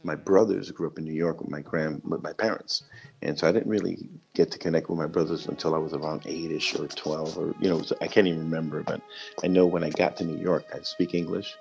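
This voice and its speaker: A man's voice: a bass voice, little pitch movement, a little fast, gravelly, darkish tone, husky, faint music behind. A man from Puerto Rico with a Puerto Rican accent and Spanish as his first language, telling his story as an interview guest in an earnest, meandering way with many restarts. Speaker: guest martial artist. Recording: muffled lo-fi audio.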